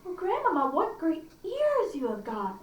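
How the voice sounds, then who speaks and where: Woman as a high-voiced, wide-eyed little fairy-tale girl. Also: huge pitch swings, a little slow, reverberant, distant mic, slight background noise, exaggerated voice. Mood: astonished.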